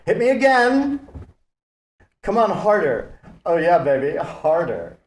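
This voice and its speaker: Guy acting campy diva paramedic, high voice that swoops all over, theatrical, comedy read. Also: sing-song, slow, heavily acted. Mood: urgent, campy. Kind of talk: live table read of comedy script.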